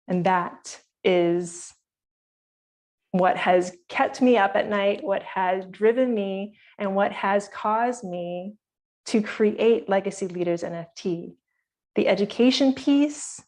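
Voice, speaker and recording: A woman's voice: medium pitch, darkish tone. A woman from Hawaii presenting her project to an online community meeting, speaking earnestly and directly to her listeners.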